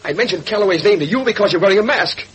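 Panicky, pleading coward, wildly swooping voice. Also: male voice, high-pitched, animated, racing, husky, full character voice, rough recording. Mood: panicked, pleading. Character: terrified, cowardly corrupt man begging for his life. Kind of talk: 1940s radio drama acting. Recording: vintage radio broadcast with loud music.

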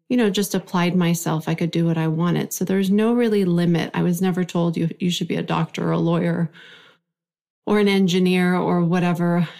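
A woman's voice: on the low side, gravelly, a little mellow. A woman in her forties, from Iran, telling her life story reflectively in an interview. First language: Farsi. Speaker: guest. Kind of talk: conversational interview.

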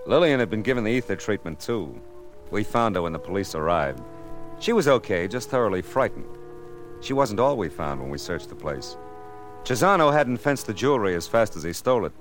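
A tough, sardonic American private detective from a 1950s radio thriller. His voice sits low and delivers hard-boiled wisecracks with weary cool. It is an old radio recording with music behind it. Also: big pitch swings, fairly quick. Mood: wry, reflective. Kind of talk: 1950s radio drama acting, hard-boiled first-person narration.

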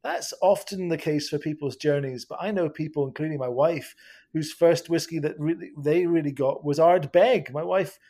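A man's voice: on the high side, normal speed, mellow. A Scottish man chatting as a podcast guest, laughing often and restarting his sentences. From Scotland. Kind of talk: casual podcast conversation.

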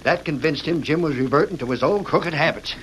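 Radio western: male actor as deferential, smooth-lying cowhand outlaw, fairly high voice, vintage hiss. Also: a little fast, a bit bright, close to an everyday voice. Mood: sly, satisfied. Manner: folksy drawling cowboy grammar. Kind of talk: old-time radio western acting. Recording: noisy vintage radio recording.

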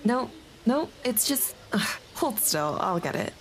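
A woman's voice: fairly high pitch, big pitch swings, a little slow, rough recording. A woman playing a hardcore, no-nonsense, big-hearted single mother in a comedy audio drama, blunt and protective. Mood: blunt, motherly.

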